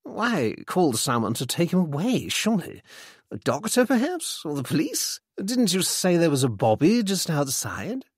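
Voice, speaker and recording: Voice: medium pitch, big pitch swings, normal speed, husky, no echo, studio quality, voice pushed up. A reader voicing a tipsy, flippant upper-class young English man with a plummy, exclamatory delivery. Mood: flippant, bemused.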